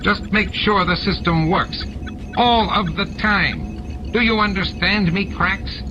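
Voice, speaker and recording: Old alien crime boss, shrill high pitch, scornful, domineering, audiobook villain, dull lo-fi sound, music behind. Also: male voice, takes it slowly. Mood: exasperated, threatening. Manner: scolding, domineering.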